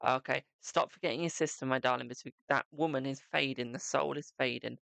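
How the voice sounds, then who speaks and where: A man's voice: mid-range voice, steady tone, quick pace, creaky, pushed and bright, no room sound. A man giving a live-stream psychic tarot reading, chatting to viewers and calling them "my darling".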